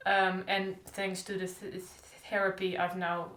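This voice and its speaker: A woman's voice: medium pitch, monotone, measured pace, a bit bright. A young woman who stutters openly with repeated sounds, talking in a casual diary-style vlog. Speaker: stuttering vlogger. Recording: echoey room.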